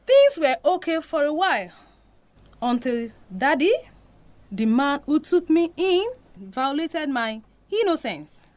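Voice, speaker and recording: A woman's voice: high voice, sing-song, slow, soft background music, full character voice. A woman playing an anguished, devout Nigerian mother confessing a painful past in an audio drama. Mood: sorrowful confession.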